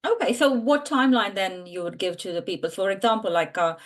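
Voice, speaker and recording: A woman's voice: medium pitch, brisk. A woman hosting a podcast, asking long, searching questions with many fillers. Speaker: podcast host. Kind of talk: interview questions.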